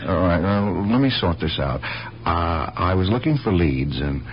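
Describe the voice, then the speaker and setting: An earnest police detective in a radio play, very low-voiced with lively pitch swings. He probes persistently and hesitantly, on a dull, noisy old broadcast. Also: moderate pace. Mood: probing. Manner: hesitant, probing, thinking aloud. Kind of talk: naturalistic radio drama acting.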